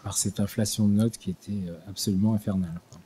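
An older man giving an unscripted talk in a small studio at a desk microphone, halting with frequent restarts and fillers. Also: lowish voice, steady tone, mellow, slight background noise. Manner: hesitant, many restarts and fillers. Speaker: guest lecturer. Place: small studio with dark purple backdrop. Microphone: desk mic on stand.

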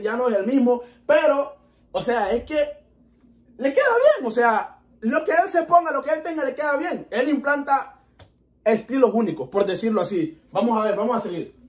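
A young man from Honduras with a Honduran Spanish accent, chatting excitedly as he reacts to a music video in a small room. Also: very high-pitched. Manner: chatty, excitable, animated with hand gestures. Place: small indoor room in front of a TV.

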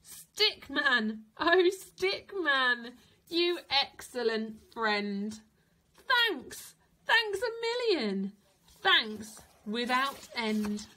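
A jolly, effusive old Santa from a storybook, acted out by a woman. He exclaims in forceful, drawn-out phrases that leap up and down in pitch. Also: sing-song, heavily acted. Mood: effusive gratitude.

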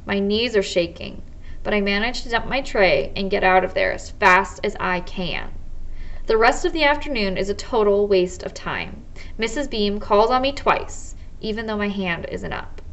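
An American woman in her twenties, a teacher reading a children's novel aloud in measured first-person narration. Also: mid-range voice, animated, creaky, fairly bright tone. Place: small indoor office or study.

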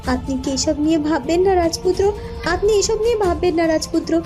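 Charulata, a calm clever young princess, voiced by a woman. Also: very high voice, fairly quick, over music.

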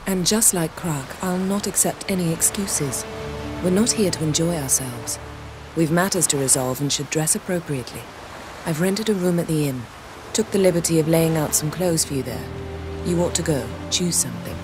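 An actress playing a poised, imperious woman with cutting wit and crisp, formal delivery in a fantasy game. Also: low-pitched, normal speed, over music, natural voice. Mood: commanding. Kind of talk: video game dialogue acting.

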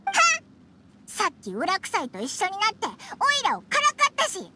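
A little floating fairy sidekick from an anime video game. The voice is childlike, squeaky and extremely high, bouncing around in pitch. She grumbles brashly with boyish wording. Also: wildly swooping pitch, normal speed, pushed and bright, a little hiss or hum, full character voice. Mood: indignant.

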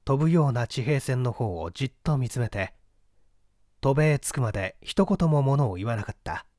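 A man's voice: medium pitch, expressive, normal speed, darkish tone, no room sound, studio quality. A man narrating a literary story as an audiobook reader, in a scripted style.

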